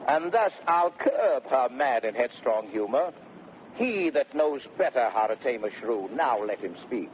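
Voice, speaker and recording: An actor playing a domineering, blustering Shakespearean husband in 1930s radio style, declaiming theatrically between bellows and smooth mock-sweetness. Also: male voice, medium pitch, very animated, a little slow. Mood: smug scheming. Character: domineering, blustering Shakespearean husband bullying his servants and bride. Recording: noisy, muffled 1930s radio recording.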